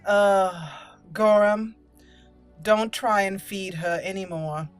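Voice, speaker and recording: A woman's voice: medium pitch, takes it slowly, clean tone, soft background music. A woman hosting a roleplay let's play, switching between casual commentary and reading character dialogue aloud.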